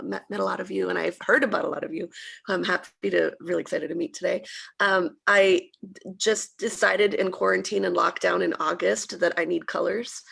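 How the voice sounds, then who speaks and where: Woman coach, gravelly voice, halting video-call chat. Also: medium pitch, a bit bright. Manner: hesitant, many fillers and restarts. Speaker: coach and hobby painter. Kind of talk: casual introduction on a video call.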